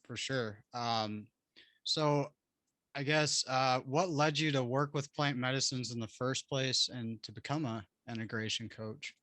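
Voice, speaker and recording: A male podcast host with a bright, forceful voice talks in a friendly, laid-back way, trailing into "um" and "you know". The sound is dry and close, with almost no room around it. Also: medium pitch, measured pace, dry recording. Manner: friendly, laid-back, soft laughs and fillers. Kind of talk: casual interview chat.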